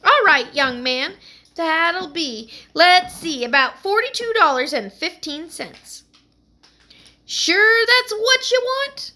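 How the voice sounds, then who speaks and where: A woman's voice: very high voice, lively intonation, takes it slowly, sharp tone, pitched above the performer's own voice, exaggerated voice. A woman voicing a gum-chomping shopkeeper lady with a New York accent and a pushy, wisecracking manner. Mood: brassy.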